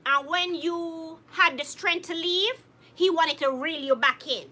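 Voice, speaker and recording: Very high, bright woman. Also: measured pace. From Jamaica. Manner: laughs often, animated, addresses viewers by name. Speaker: co-host reading viewer comments. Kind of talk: casual live-stream chat.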